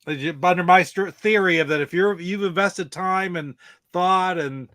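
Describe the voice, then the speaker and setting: Male panelist, slightly high voice, halting casual talk, dry sound. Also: a bit bright, no echo. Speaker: panelist on a gaming live stream.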